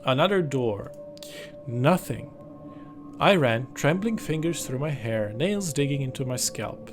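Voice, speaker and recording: Guy doing a game overview, reads the story out and comments, normal pitched voice, music behind him. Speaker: gaming channel host. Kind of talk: game overview commentary mixed with reading the game's story text aloud. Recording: music under the voice.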